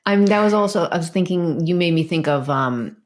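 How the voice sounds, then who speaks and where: A woman's voice: on the low side, expressive, fairly quick. A woman co-hosting a podcast, chatting informally in loose phrases as she thinks aloud. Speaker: podcast co-host. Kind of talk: casual chat.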